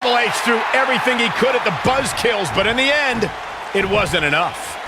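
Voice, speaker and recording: A man playing an excitable play-by-play wrestling announcer in a video game, over noise. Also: extremely high pitch, a little fast, a loud crowd. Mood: excited. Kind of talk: excited sports commentary.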